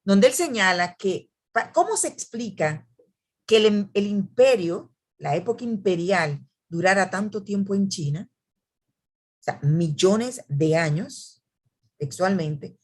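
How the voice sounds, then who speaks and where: A woman's voice: medium pitch, animated, slow pace. A woman author presenting a book at an academic event, speaking in a hesitant way with many fillers and restarts.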